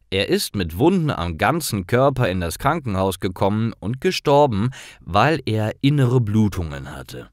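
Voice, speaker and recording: Man, storyteller, scripted narration, deliberate, lively intonation, very dry close sound. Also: fairly low pitch, no echo. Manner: clear, simple, deliberate read-aloud delivery. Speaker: storyteller for German learners. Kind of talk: scripted narration of simple stories.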